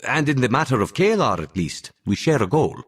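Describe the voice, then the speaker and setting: An actor playing an even-tempered, principled monk in a video game, with a husky voice. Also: male voice, mid-range voice, brisk. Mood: calm.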